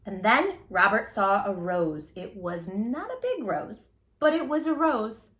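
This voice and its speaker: A woman reading a children's picture book aloud as a storytime host, theatrically, with drawn-out words and little asides to the listener. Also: mid-range voice, sing-song, a bit bright.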